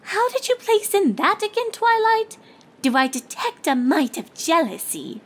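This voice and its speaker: A woman's voice: very high-pitched, sing-song, a little slow, pitched above the performer's own voice, exaggerated voice. A woman voicing a refined, dramatic female cartoon unicorn pony in a genteel, teasing, theatrical manner. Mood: arch, needling. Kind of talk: character voice in fan fiction reading.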